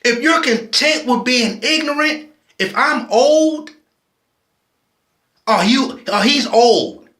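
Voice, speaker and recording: High-voiced impassioned man, slow and bright. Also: lively intonation, reverberant. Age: 40s. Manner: impassioned, preachy, with frequent restarts. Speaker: vlogger. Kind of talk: personal video commentary.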